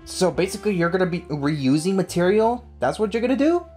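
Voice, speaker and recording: A woman's voice: fairly low pitch, very animated, a little fast, darkish tone. A woman giving casual, slangy, reactive commentary as she plays a video game. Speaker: let's play streamer. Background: game music.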